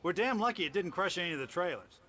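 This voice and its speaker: A folksy small-town fellow in a mystery game, skeptical and animated. His voice sits high and swings widely, over faint outdoor ambience. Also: fast, slight background noise. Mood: skeptical, chatty.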